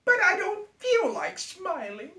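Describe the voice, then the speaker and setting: A woman's voice: very high voice, sing-song, unhurried, sharp tone, full character voice. A woman voicing a miserable, petulant little girl, prone to tears, in a children's bedtime story. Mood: pouty. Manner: petulant, tearful. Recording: echoey room, off the mic.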